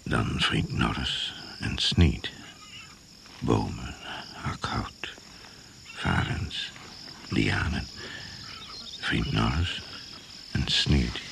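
An actor narrating a radio drama as a brooding storyteller, intoning sparse lists of images in a gravelly, often hushed voice. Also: male voice, very deep voice, extremely slow, long pauses, heavily acted. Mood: hushed, ominous. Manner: sparse, incantatory word-by-word listing. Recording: noisy, hissy old radio recording.

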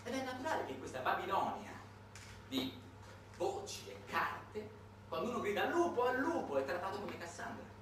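A man performing a theatrical narrative monologue in a conversational way, emphatic, with dramatic pauses and repetitions. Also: high voice, very animated, a bit bright, recorded from a distance. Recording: echoey, noisy room recording from afar.

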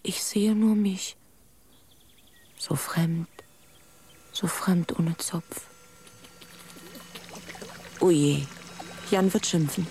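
An actress playing an earnest, tender-hearted young woman in a radio play, expressive and talking half to herself. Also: lowish voice, drawn-out words, long pauses, slight background noise. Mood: anguished, hushed.

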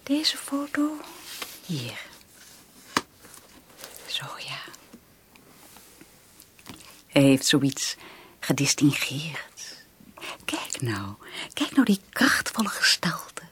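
An actress in a Dutch radio drama playing a dreamy, infatuated young aunt who confides in breathy half-whispers to a small child. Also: female voice, sits low, wildly swooping pitch, very slow, long pauses, fairly bright tone, exaggerated voice. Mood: dreamy, confiding.

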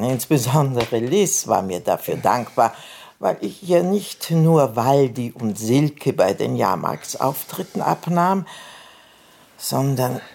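Casting: pompous smug braggart, radio drama, slow gravelly delivery with big pitch swings, florid. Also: male voice, darkish tone, full character voice. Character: vain, smug male storyteller boasting of his conquests. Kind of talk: German radio play acting.

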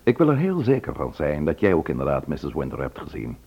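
An actor playing a thoughtful, probing police inspector in a radio drama, polite and deliberate as he questions. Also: extremely low pitch, huge pitch swings, husky, full character voice. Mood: decisive, alert. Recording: slightly muffled old radio recording.

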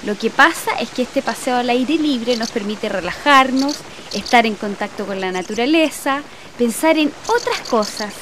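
A woman's voice: fairly high pitch, animated, moderate pace, noisy background, natural voice. A woman playing a firm but easygoing middle-aged mother with a Chilean accent.

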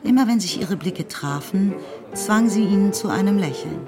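An actress as the composed storyteller of a period novel, narrating in a measured literary style for a radio drama. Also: female voice, mid-range voice, expressive, natural voice. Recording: plucked-string music behind narration.

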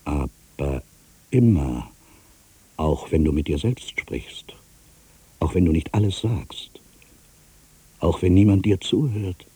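An actor playing a melancholy writer in his fifties, musing haltingly to himself in a radio play monologue, with a gravelly, husky voice. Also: very deep voice, warm tone, no echo, full character voice. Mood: brooding. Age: 50s. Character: aging melancholy male writer back in his hometown.

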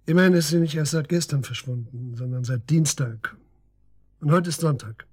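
Radio drama casting: probing detective, breathy rough edge, lightish pitch, persistent and businesslike. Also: male voice, normal speed, a little mellow. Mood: probing, sceptical. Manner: matter-of-fact, probing, insistent. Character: persistent, matter-of-fact male police detective interrogating a suspect. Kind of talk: naturalistic German radio drama acting.

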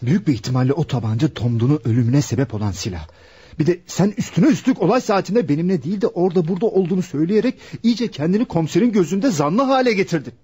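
An actor playing an assertive, impatient lawyer and protective fiancé in a radio play, with an argumentative, insistent manner. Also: male voice, fairly high pitch, lively intonation, fast talker, mellow, husky. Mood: exasperated. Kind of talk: radio theatre acting.

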